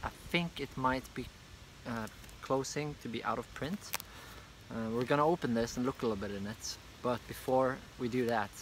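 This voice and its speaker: A man's voice: mid-range voice, normal speed, a little hiss or hum, rough recording. A young man with a Norwegian accent who makes comics, chatting loosely through a casual video review on a park bench, with many fillers. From Norway. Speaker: comic artist and vlogger. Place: park bench outdoors, beside a hedge. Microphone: phone camera at arm's length.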